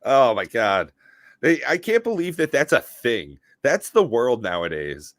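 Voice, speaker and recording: A man's voice: medium pitch, very animated, unhurried, a bit bright, dry recording, clean studio sound. A man with a Northeastern US accent from Connecticut, co-hosting a podcast in a joking, self-deprecating way. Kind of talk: casual podcast chat.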